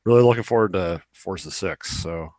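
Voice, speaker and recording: A man's voice: low-pitched, normal speed, a little mellow, dry recording. A young man chatting casually as a podcast co-host, opinionated and rambling, swearing now and then. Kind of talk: casual chat about video games.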